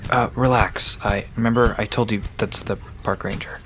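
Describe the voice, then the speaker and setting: A woman's voice: very deep voice, fairly even intonation, normal speed, a little hiss or hum. A woman playing an easygoing, knowledgeable park guide in an audio drama, casual and reassuring as they explain things.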